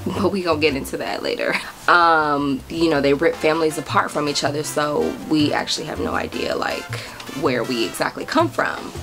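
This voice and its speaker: Brief: young American woman vlogger, lower voice, bubbly chatter, faint music bed. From United States. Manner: bubbly, giggly, chatty with many fillers. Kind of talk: casual vlog chat to camera.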